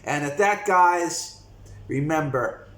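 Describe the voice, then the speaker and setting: Slow-talking American man in an echoey room. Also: on the high side, fairly bright tone. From United States. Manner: slow, reflective, with frequent pauses. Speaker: vlogger. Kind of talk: casual talk to camera. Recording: echoey room with faint noise.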